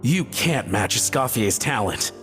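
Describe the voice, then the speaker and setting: Man acting a slow-spoken admiring townsman. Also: medium pitch, animated, long reverb tail, music in the background, close to an everyday voice. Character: admiring male townsman and restaurant regular. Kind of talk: video game voice acting.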